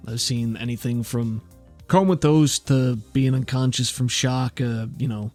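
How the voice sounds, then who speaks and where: Guy doing a cocky laid back male character in a tabletop game, dry n teasing, mid pitch, music behind. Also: unhurried, a little mellow, natural voice. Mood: casual reassuring.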